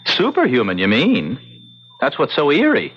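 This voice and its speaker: An actor playing an earnest, excitable young computer man in a radio drama. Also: medium pitch, moderate pace. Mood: defensive, uneasy. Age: young adult. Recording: muffled, noisy old radio drama recording.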